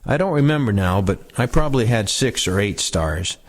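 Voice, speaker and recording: Low-voiced man reading memoirs. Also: lively intonation, normal speed. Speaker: reader of veterans' oral histories. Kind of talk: reading first-person war memoirs aloud.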